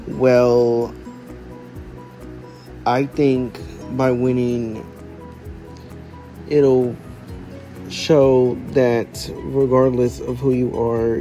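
Teen male, slow and earnest, dark mellow tone, faint music. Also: medium pitch, rough recording. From United States. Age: teens. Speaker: contestant. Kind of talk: casual self-introduction.

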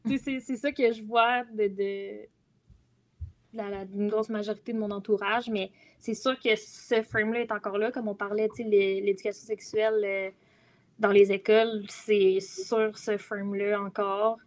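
A woman's voice: mid-range voice, little pitch movement, normal speed. A young woman with a Quebec French accent, chatting casually in a group discussion on a video call from home. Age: young adult. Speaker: guest columnist on a youth talk show.

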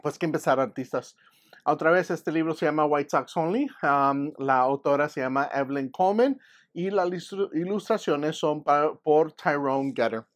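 A man in his thirties, a native Spanish speaker, introducing a children's book as host in a halting, explanatory way. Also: fairly high pitch. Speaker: host, art teacher reading aloud. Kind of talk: bilingual introduction and storybook read-aloud for children. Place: indoor room at a table with a poster-covered wall.

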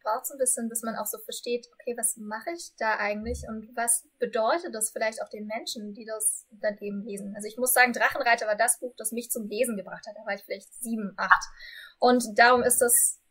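Host, woman in her 20s, slightly high pitch, chatty informal interviewing on a video call. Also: fairly even intonation, fairly quick, fairly bright tone, slightly rough, low-quality recording. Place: indoors in front of bookshelves.

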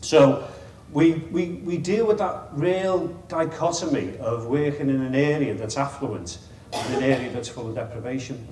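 A man's voice: mid-range voice, moderate pace, few pauses, darkish tone, reverberant, far from the mic, a little hiss or hum. A middle-aged man with a Liverpool accent gives an informal conference talk as a chief executive, chatty and wry, with self-deprecating asides. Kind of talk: informal presentation to an audience. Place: meeting room with an audience. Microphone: lapel mic.

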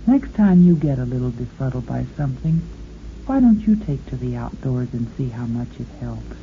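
A woman's voice: rumbling low, animated, normal speed, a little hiss or hum. A woman hosting an old radio show in an intimate, sweet-talking monologue, addressing the listener as a sweetheart. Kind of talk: 1950s radio companion monologue. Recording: vintage 1950s radio, tinny narrow band. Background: music.

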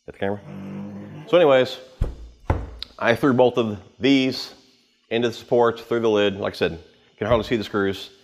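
A man in his thirties giving a casual, unscripted walkthrough to camera in an informal, rambling way, with pauses as he handles gear. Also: medium pitch, steady tone. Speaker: vlogger giving a boat tour. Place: home garage with the door open.

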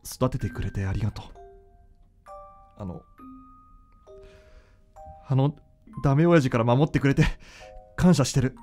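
Actor as an earnest young son, wide-swinging intonation. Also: male voice, mid-range voice, expressive, a little slow, pauses often, warm tone, faint music behind, close to an everyday voice. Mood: solemn, grateful. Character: earnest young doctor son, anguished and intense. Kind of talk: Japanese radio drama acting.

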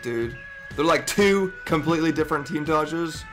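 A hoarse young man in his twenties, with his voice nearly gone, giving excitable, swear-filled reaction commentary from a headset in his bedroom. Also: on the high side, normal speed, soft background music. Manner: excitable, swears often, stammers and laughs. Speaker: YouTuber reacting to a gaming montage. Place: bedroom indoors. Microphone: over-ear headset.

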